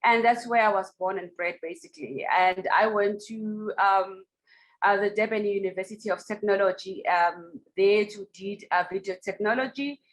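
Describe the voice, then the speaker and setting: South African woman, reflective and halting. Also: medium pitch, low-quality recording. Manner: reflective, with many pauses and fillers. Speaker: documentary film director. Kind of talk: online festival Q&A.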